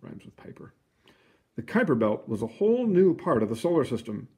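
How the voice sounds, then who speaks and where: A man reading a children's book aloud in an expressive, explanatory way, with casual asides. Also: mid-range voice, a little fast. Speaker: picture book reader.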